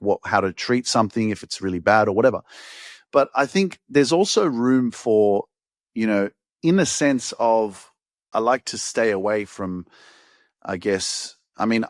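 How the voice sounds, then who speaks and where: A man's voice: on the low side, dry recording, studio quality. A middle-aged man giving a loose, conversational motivational talk as a podcast host, full of fillers and swearing for emphasis.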